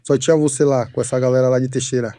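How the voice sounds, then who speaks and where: A man's voice: mid-range voice, steady tone, fast, warm tone, dry recording. A man from Bahia, hosting a podcast in a casual, slangy, laughing way. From Itabuna, Bahia, Brazil. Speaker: podcast host. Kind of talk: podcast conversation.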